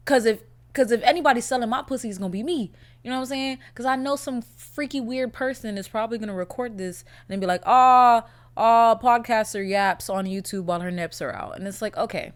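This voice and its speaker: A young woman hosting her own podcast, chatting casually in a blunt, profane, animated way, her voice a little raspy from a cold. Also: a little high. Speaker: podcast host. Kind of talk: casual solo podcast chat.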